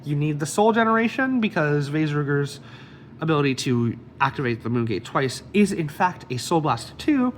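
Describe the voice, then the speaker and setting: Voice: mid-range voice, expressive, moderate pace, slight background noise. A card game deck reviewer with a slight cold, casually explaining card effects one by one.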